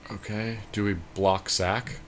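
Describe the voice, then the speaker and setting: Low-voiced man talking through a game. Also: level tone, slow pace, noisy background. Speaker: co-host of a card game podcast. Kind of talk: casual game commentary, thinking aloud.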